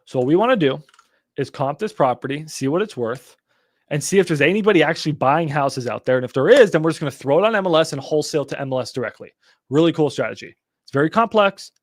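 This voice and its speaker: A young man hosts a casual live stream, his voice on the high side and jumping into loud, swearing exasperation before he settles back to explaining deals. The sound is very dry and close, with no room around it. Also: fast talker, no room sound. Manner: excitable, swears when exasperated, talks to chat. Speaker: real estate wholesaling livestream host. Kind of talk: casual livestream teaching.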